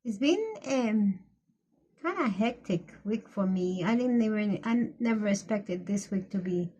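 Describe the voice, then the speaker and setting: A woman's voice: mid-range voice, lively intonation, a little slow, warm tone, breathy edge, poor audio. A middle-aged woman with a Colombian accent, chatting casually and meandering with frequent restarts while she colors for her vlog. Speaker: coloring vlogger.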